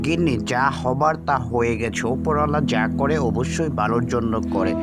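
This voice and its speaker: Guy playing poor village farmer husband, kids cartoon, earnest, music loud behind him. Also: medium pitch, moderate pace, close to an everyday voice. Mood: resigned, reassuring. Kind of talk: children's cartoon voice acting.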